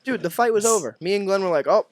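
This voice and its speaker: A man with a Boston accent hosting a podcast, chatting casually, excitable and swearing freely. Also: high-pitched, quick pace, no echo. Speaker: podcast host. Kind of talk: casual chat.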